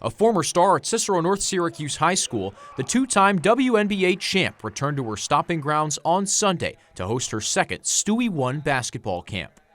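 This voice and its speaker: A man's voice: a little high, sing-song, normal speed, hardly any pauses, a bit bright. An American man working as a sports announcer and reporter, with a broadcast delivery. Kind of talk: play-by-play, interviewing and scripted reporting.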